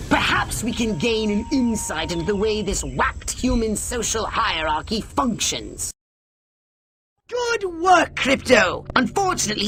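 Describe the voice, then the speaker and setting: A lofty scheming alien commander. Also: male voice, very high voice, full character voice. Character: scheming alien commander, male, older, brainy and bossy. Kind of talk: video game cutscene acting.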